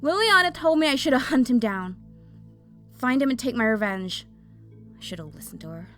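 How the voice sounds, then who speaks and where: Woman acting a high, fiery young woman fire mage. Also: lively intonation, normal speed, a bit bright, faint music behind. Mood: bitter. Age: young adult. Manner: agitated, blunt, demanding. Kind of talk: audio drama acting.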